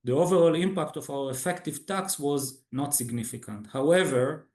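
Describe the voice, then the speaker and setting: A man who speaks English as a second language, formally reading a scripted financial results presentation as a company executive, with halting pauses. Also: fairly high pitch, darkish tone, echoey room. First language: not English. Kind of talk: scripted earnings call presentation.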